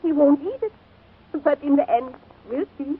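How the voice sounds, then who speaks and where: An actress playing a blunt, grumpy but kind-hearted foreign cook who speaks clipped broken English in a 1940s radio comedy. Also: female voice, sits high, expressive, moderate pace, slight background noise. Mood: softened, friendly. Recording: tinny 1940s radio recording.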